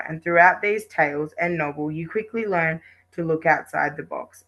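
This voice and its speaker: A woman's voice: on the low side, a bit bright, poor audio. Woman reading a prepared presentation. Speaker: student presenter. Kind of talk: rehearsed presentation, reading from a script.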